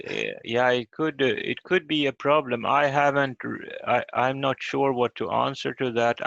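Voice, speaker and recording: A man teaching an online language lesson in a halting, hesitant way over a muffled call. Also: fairly low pitch, vocal fry, a bit bright, dry recording.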